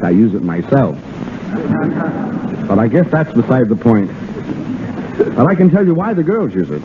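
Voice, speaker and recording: An American man as a guest on 1930s radio, giving scripted banter in a wisecracking, colloquial way. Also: fairly low pitch. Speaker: radio guest, dance director. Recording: faintly noisy, narrow-band 1930s radio.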